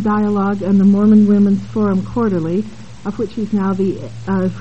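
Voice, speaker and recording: A woman with a mellow, relaxed voice introduces the speakers at a conference panel. She talks haltingly, with frequent ums and side remarks. The recording is a dull, lo-fi tape with faint hiss. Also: medium pitch. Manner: halting, with many fillers and asides. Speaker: panel moderator. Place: conference room with an audience.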